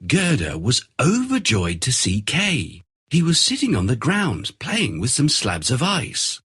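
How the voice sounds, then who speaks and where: Very husky, animated male storyteller. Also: medium pitch, a little slow. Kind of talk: scripted fairy-tale narration.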